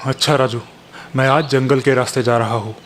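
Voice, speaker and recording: A man's voice: mid-range voice, steady tone, a little fast, a bit bright, a little hiss or hum, natural voice. A man voicing a discontented, impatient villager farmer who wants money, in a dramatized children's moral story. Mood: dismissive. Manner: grumbling, impatient. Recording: music behind the voice.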